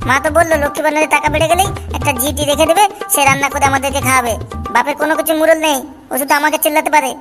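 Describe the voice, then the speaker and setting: A man voicing a defiant young boy in an amateur comedy cartoon, whiny and insistent, with artificially raised pitch. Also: steady tone, racing. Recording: reverberant track with faint music. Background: faint music.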